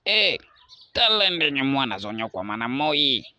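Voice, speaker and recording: A man's voice: medium pitch, big pitch swings, a little slow, heavy background noise. A man with a Congolese accent playing an indignant complainer in a cartoon.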